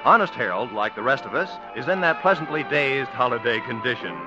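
A man's voice: medium pitch, lively intonation, brisk. A man working as a radio announcer, delivering scripted narration in a 1950s broadcast style. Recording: muffled 1950s broadcast with music.

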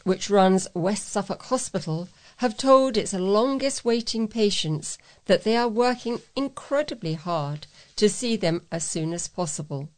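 A woman's voice: mid-range voice, a little mellow. A woman reading scripted local news items as a volunteer newsreader.

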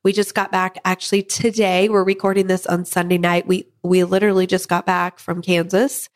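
A woman in her thirties hosting a podcast with her husband, her voice hoarse from a head cold, chatting casually and laughing now and then. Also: on the low side, level tone, moderate pace. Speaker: podcast host.

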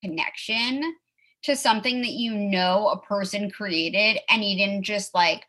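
A woman in her thirties chatting casually as host, full of "like" and quick asides. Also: medium pitch, pushed and bright. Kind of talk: casual chat.

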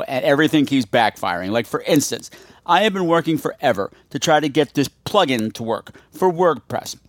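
A man's voice: fairly high pitch, dry recording, studio quality. A man hosting a podcast, chatting casually and theatrically to his listeners. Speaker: podcast host.

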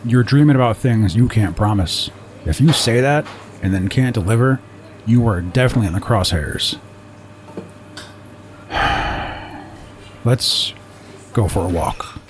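A man's voice: lowish voice, lively intonation, measured pace, pauses often, noisy background. A man playing a streetwise former criminal turned mentor in an audio drama, dry and commanding.

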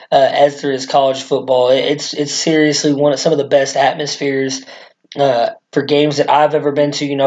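Guy with a shot hoarse voice doing his sports podcast, kinda monotone, muffled mic. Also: mid-range voice. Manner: excited, rambling fan talk. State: hoarse from screaming. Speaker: podcast host. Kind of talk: casual sports podcast talk.

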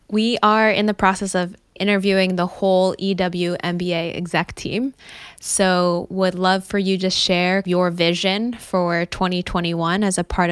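A woman's voice: lowish voice, clean tone, dry recording, clean studio sound. A woman interviewing a fellow student, friendly and encouraging, often laughing lightly.

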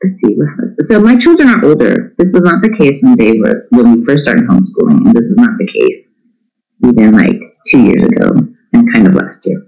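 A man's voice: mid-range voice, big pitch swings, a little fast, darkish tone, dull audio, faint music behind. A middle-aged man, chatting casually as an interview guest in a candid, irreverent, self-deprecating way. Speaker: interview guest, homeschooling parent. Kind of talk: casual chat.

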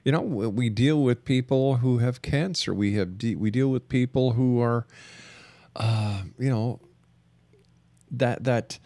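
A man hosting a radio interview, framing questions haltingly and repeating words as he goes. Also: mid-range voice, warm tone, no echo. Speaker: radio show host.

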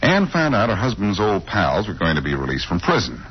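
Husky, wisecracking radio sleuth man. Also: on the low side, wildly swooping pitch, brisk, full character voice. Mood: teasing. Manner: glib, teasing. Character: wisecracking, cool, unflappable amateur sleuth man. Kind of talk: 1940s radio acting. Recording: muffled old-time radio recording.

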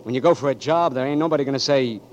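A man's voice: mid-range voice, racing, close to an everyday voice. An actor playing a young Marine veteran who has lost his sight, talking tough and streetwise in 1940s radio-drama style, on a noisy old broadcast recording. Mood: bitter. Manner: tough streetwise slang, gruff. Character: young blinded Marine veteran, bitter and scared.

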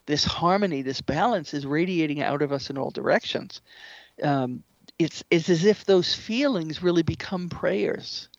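A woman speaking as an interview guest, explaining spiritual ideas in an earnest, measured way. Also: deep voice, no room sound, lo-fi sound. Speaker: interview guest, shamanic practitioner and author.

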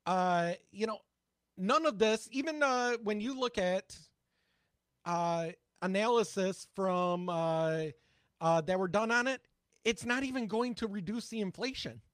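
A man co-hosting a political talk podcast in a high, fairly light voice. He speaks bluntly and mocks what he hears, swearing freely. The recording is dry and close. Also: measured pace, fairly bright tone, dry recording. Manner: blunt, profane, sarcastic commentary. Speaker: podcast co-host. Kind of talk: casual political chat.

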